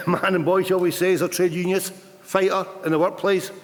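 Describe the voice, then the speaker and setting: Man in his 50s, somewhat high voice, conference speech, plain-spoken, stammered restarts, long hall reverb. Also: level tone, normal speed. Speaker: retired union rep speaking to a conference.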